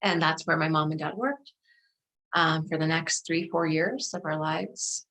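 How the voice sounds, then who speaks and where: A middle-aged woman from Afghanistan and Pakistan, a native Dari speaker, tells her personal story in a measured, reflective way as an interview guest. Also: low-pitched, a bit bright, poor audio.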